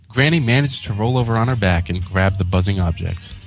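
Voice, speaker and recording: Low-voiced male fan fiction reader. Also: expressive, brisk, faint music behind. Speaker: co-host and story reader. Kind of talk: comic fan fiction narration.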